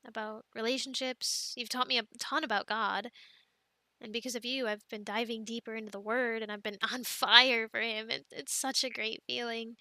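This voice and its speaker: Casting: actress for an affectionate, devout young girlfriend in an intimate audio roleplay; bright, leisurely delivery, giggly and hesitant. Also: a little high, vocal fry, no room sound, heavily acted. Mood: sincere, grateful. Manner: giggly, hesitant, chatty with fillers and pauses. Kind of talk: soft girlfriend roleplay, casual improvised-sounding chat.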